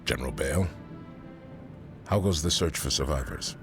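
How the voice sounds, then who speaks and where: A man playing a stern, skeptical general in a video game, measured and commanding in a very deep, gravelly voice. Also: lively intonation, fairly bright tone. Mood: stern, doubtful. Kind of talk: dramatic video game cutscene acting. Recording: music behind.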